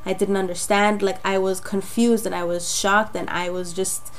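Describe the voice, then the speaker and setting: A young woman from Jamaica, raspy from a cold, telling her personal story in a chatty, rambling way with many fillers. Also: mid-range voice, flat delivery, moderate pace. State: getting over a cold. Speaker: vlogger. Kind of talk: casual personal testimony to camera.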